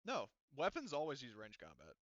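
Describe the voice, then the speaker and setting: A man's voice: medium pitch, huge pitch swings, normal speed, fairly bright tone, no room sound, muffled recording. A man running a tabletop game online, casually explaining and correcting himself as he goes. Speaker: game master. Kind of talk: casual tabletop game session over voice chat. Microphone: close computer mic, online voice call.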